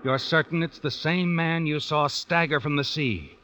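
An actor playing an ominous, knowing narrator of a vintage radio mystery, speaking deliberately to the heroine. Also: male voice, fairly high pitch, bright, forceful tone, a little hiss or hum. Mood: ominous, insinuating. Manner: insinuating, deliberate. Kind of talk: dramatic second-person radio suspense narration. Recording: dull old radio broadcast recording. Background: dramatic music.

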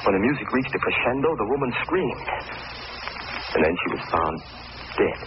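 Low-voiced, frightened young man. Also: big pitch swings, normal speed. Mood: anxious. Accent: American. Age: young adult. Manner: intense, melodramatic. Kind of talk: 1940s radio acting. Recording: muffled, noisy old radio recording.

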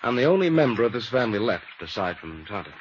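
A man's voice: on the low side, big pitch swings, fast talker. A man playing a well-spoken, resentful young heir in his twenties in a 1950s radio drama. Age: 20s. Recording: dull, noisy old radio recording.

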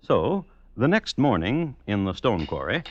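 A man's voice: lowish voice, big pitch swings, a little slow, a little hiss or hum. An American man narrating as host in a dry, wry, folksy 1950s radio storytelling style. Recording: muffled old radio recording.

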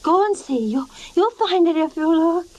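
A woman's voice: very high voice, animated, normal speed. An actress playing an obsessive, sly wife losing her mind in an old time radio horror drama, intense and theatrical, confiding and frantic. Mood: agitated, pleading. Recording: old radio recording with loud music.

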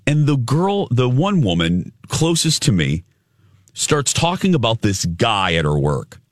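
Loud animated male radio host. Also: mid-range voice, measured pace, dry recording, studio quality. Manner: swears casually. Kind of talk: storytelling chat on air.

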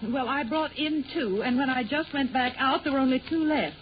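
A noisy, muffled old radio recording features a fussing middle-aged mother acted by a woman. Her high voice is firm and brisk, in period sitcom style. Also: close to an everyday voice. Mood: exasperated. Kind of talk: 1940s radio sitcom acting. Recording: noisy, narrow-band 1940 radio recording.

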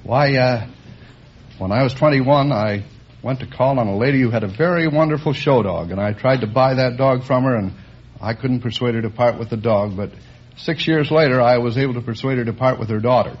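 A man's voice: medium pitch, fairly quick, husky. A man from Canada, a contestant on a quiz show, telling anecdotes conversationally. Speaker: dog show judge, contestant. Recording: dull old radio broadcast, faint noise.

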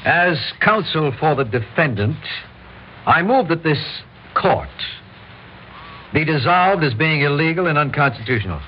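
A man's voice: fairly high pitch, animated, unhurried. An American man acting a principled, defiant lawyer in a mid-century radio drama, speaking formally and emphatically. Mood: formal, insistent. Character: Jay, principled, defiant lawyer confronting vigilantes. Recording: muffled old radio recording with faint noise.

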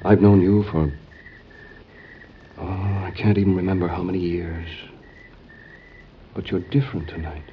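An actor playing a gallant, teasing young gentleman suitor in classic American radio drama. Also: deep voice, level tone, measured pace, long pauses. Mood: tender, teasing. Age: young adult. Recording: muffled old radio recording, faint noise.

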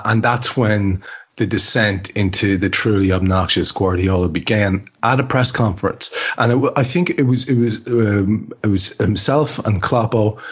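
A man hosting a casual football podcast, wordy and opinionated, with expressive turns of phrase and a husky voice. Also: lowish voice, lo-fi sound. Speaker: podcast host.

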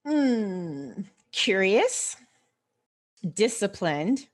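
A woman with an American accent chatting casually as an interview guest, animated and explaining things step by step with rhetorical questions. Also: mid-range voice, very slow. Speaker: interview guest, personal finance expert.

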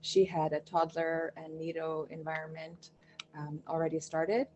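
A woman with a fairly low voice answers interview questions, telling stories at an easy, conversational pace. She fills gaps with ums and restarts her phrases. A faint steady noise sits under the recording. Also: unhurried, dull audio. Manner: warm storytelling with fillers and restarts. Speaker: Montessori education director, guest.

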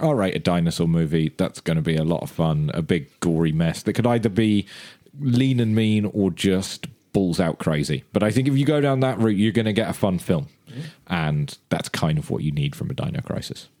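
A man's voice: deep voice, lively intonation, moderate pace, darkish tone. A man on a podcast panel, discussing films and games in a casual way.

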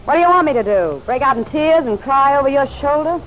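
Hard-boiled, sharp-tongued dame on muffled old radio. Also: female voice, high voice, lively intonation, a little fast. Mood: bitter, defensive. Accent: American. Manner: curt, slangy, sardonic. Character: hard-boiled, sharp-tongued working-class woman, defiant. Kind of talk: old-time radio drama acting. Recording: muffled vintage radio, faint noise.

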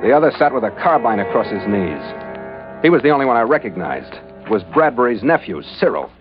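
Hardboiled adventurer, male actor, American, mid-pitched, wry and terse, vintage radio narration, muffled, scored with music. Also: big pitch swings, fast talker, lightly acted. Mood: grim, wry. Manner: terse, sardonic. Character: hardboiled, wisecracking American adventurer narrating his own case. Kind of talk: 1940s radio detective acting, first-person narration. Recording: muffled old radio recording with music underneath.

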